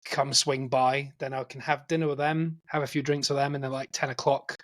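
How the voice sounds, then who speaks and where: A man's voice: medium pitch, flat delivery, fairly quick, fairly bright tone. A man, hosting a podcast and chatting in a rambling, self-deprecating way. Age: 40s. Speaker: podcast host. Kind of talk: casual chat.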